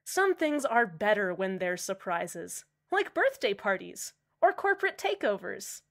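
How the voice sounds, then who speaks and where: A woman's voice: mid-range voice, very animated, measured pace, vocal fry. A woman playing a smiling, menacingly cheerful male radio host in a radio drama, sweetly condescending. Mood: cheerful, sly.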